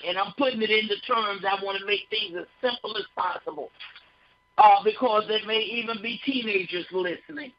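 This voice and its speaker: A woman's voice: mid-range voice, normal speed. A woman giving a conversational sermon on a broadcast, folksy and full of repeated phrases, often checking in with listeners. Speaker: radio speaker on behavior. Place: radio studio. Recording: dull lo-fi broadcast sound.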